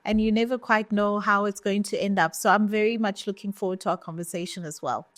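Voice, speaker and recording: A woman's voice: mid-range voice, steady tone, fast talker, dry recording. A woman hosting a business podcast, encouraging her guest to tell his story. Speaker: host. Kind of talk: podcast interview.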